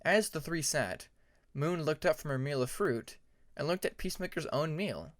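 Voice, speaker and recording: A man's voice: a little high, lively intonation, moderate pace, no echo, studio quality. A man reading a fantasy story aloud as a scripted audiobook narration. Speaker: audiobook narrator of fan fiction.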